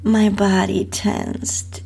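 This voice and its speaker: A woman narrating an intimate first-person adult story in a breathy, half-whispered delivery. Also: medium pitch, level tone, extremely slow, mellow. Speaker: host and narrator reading own story.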